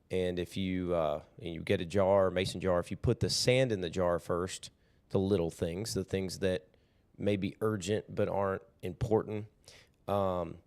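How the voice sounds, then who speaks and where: Podcast host, male, very low pitch, hoarse and raspy, easygoing and rambling. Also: a little mellow. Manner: easygoing, rambling, many fillers. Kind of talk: casual motivational talk.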